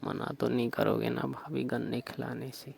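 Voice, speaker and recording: A man's voice: mid-range voice, level tone, normal speed, vocal fry, a little mellow, slightly rough. A man on a recorded phone call, insistent and suggestive, pressing with question after question. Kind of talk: staged flirtatious phone call.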